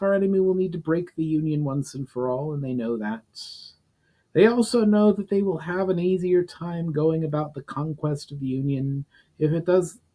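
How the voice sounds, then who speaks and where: A man's voice: fairly high pitch, warm tone, breathy edge, voice pushed up, poor audio. A man reading a fantasy story, voicing a seasoned middle-aged army general who is grave, patient and instructive. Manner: measured, instructive, commanding.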